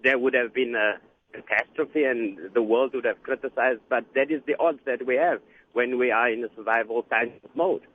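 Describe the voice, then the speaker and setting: A man's voice: medium pitch, fairly even intonation, moderate pace. A man with a South African accent phoning in to a radio show to give his opinion conversationally. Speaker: phone-in caller. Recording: thin narrow telephone line.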